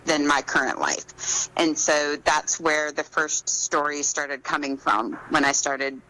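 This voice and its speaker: A woman with a low voice and a bright, forward edge to it. She speaks as a guest author, answering in a conversational interview style. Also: takes it slowly, poor audio.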